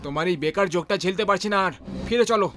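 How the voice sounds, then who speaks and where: A man playing a high, jittery young executive. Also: fast talker, lightly acted. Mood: uneasy. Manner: pleading, rising nervous tone. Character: anxious young male executive, nervous. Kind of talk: animated horror dub. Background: background music.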